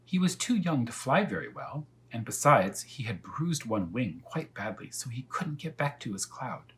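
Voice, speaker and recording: A man's voice: mid-range voice, very animated, normal speed, a bit bright, slight background noise, voice pushed up. A man voicing a talkative female cat who tells her tale earnestly and without hurry in a children's storybook. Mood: earnest, explanatory. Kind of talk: children's storybook read-aloud.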